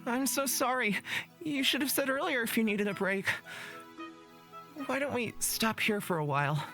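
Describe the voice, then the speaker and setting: A gentle young fantasy lad played by a woman speaks in a fairly high, apologetic, caring voice. Faint game music plays underneath. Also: normal speed. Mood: apologetic concern. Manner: soft-hearted, apologetic. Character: gentle, considerate young male fantasy companion. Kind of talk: fantasy visual novel voice acting.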